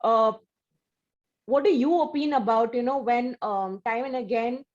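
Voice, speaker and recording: An effusive woman hosts an interview, often stumbling and restarting as her thoughts run ahead of her. Her voice sits fairly high. The recording has a slightly dull, lo-fi sound. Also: unhurried, darkish tone. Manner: effusive, with frequent restarts and fillers. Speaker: interview host.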